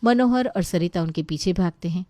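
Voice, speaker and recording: Female narrator for scripted bedtime-style tales, soft dark timbre, very dry studio-like sound. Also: on the low side, moderate pace, no room sound. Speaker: story narrator.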